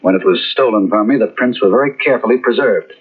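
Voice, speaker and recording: A man's voice: lowish voice, fast, breathy edge. An actor playing a suave, wry male murder suspect in an old radio mystery, dry-humored under pressure. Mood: calm. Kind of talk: 1940s radio acting. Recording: tinny old radio recording.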